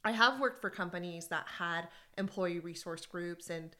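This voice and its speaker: A woman co-hosting a podcast, talking in a casual, animated way and laughing easily while she tells stories. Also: fairly low pitch, moderate pace. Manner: animated storytelling with frequent laughs. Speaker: podcast co-host. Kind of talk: casual conversation about workplace groups.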